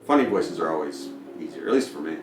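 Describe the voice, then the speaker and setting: Deep-voiced man, distant and echoey. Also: very animated, quick pace. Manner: rambling, loose, lots of fillers and restarts. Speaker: podcast co-host. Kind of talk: casual chat. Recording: distant and echoey, with music playing behind.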